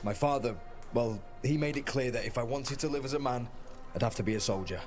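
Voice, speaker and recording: An actor playing a guarded, self-deprecating soldier turned clerk in a video game, with a wry, understated delivery. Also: male voice, mid-range voice, brisk, soft background music, close to an everyday voice. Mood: wistful, weary.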